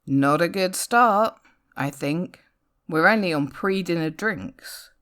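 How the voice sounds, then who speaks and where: A woman reading a comic narrative poem aloud in a wry, theatrical, expressive way. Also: fairly low pitch, slow. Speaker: poetry reader. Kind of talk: dramatic spoken-word poem reading, rhyming storytelling.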